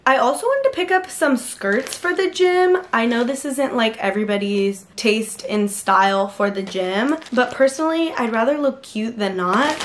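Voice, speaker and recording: A woman in her twenties doing a chatty, gushing try-on haul review from her bedroom, filled with "like". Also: mid-range voice. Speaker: fashion vlogger. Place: pink-lit bedroom.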